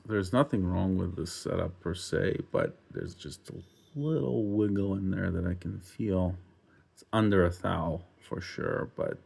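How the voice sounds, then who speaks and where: Low-pitched gravelly man explaining casually. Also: darkish tone. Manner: informal, self-correcting, mildly profane. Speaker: host of a repair and restoration video. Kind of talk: casual hands-on workshop explanation.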